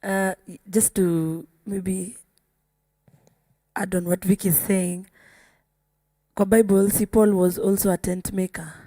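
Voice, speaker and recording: A woman's voice: medium pitch, little pitch movement, takes it slowly, pauses often, dark tone, dry recording. A Kenyan woman speaking as a singer on a panel, sharing her view in casual discussion.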